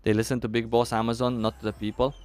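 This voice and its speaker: A man's voice: mid-range voice, flat delivery, fast, a little mellow, faint music behind. A young man with a Romanian accent chatting casually with viewers on a live game stream, thinking aloud as he answers chat.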